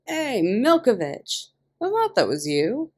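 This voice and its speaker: A woman voicing a shady male inmate in an audio story, pushy and falsely friendly with a sharp edge. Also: fairly high pitch, huge pitch swings, takes it slowly, pitched above the performer's own voice, exaggerated voice. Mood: excited, probing. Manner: eager with a sharp undercurrent.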